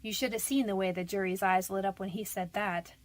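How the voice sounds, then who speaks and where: A woman's voice: mid-range voice, fairly even intonation, fairly quick, natural voice. An actress playing a frightened but defiant young convicted woman in a radio detective drama, defensive, pleading and slangy. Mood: resigned.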